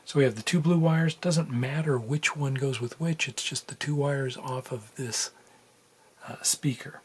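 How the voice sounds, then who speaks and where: A man talks through a practical task in an informal, think-aloud way, like a casual tutorial host. His voice sits at a middle pitch. It is recorded indoors at a workbench, with some background room noise. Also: measured pace. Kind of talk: casual hands-on soldering tutorial narration.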